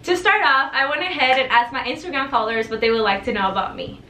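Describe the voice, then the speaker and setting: A woman's voice: sits high, quick pace, sharp tone, a little hiss or hum. A talkative teenage woman, chatting to camera in her bedroom. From El Paso, Texas, raised in Juarez, Mexico. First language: Spanish. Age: teens. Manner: bubbly, talkative, gestures a lot. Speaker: vlogger. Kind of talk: casual talk to camera. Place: bedroom with tapestry backdrop, indoors. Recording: echoey room, mic a bit far.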